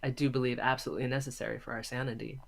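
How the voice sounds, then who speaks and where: A woman's voice: rumbling low, flat delivery, racing, vocal fry. A woman answering casually as an interview guest, stressing her points by repeating phrases. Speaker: interview guest, teacher of storytelling students.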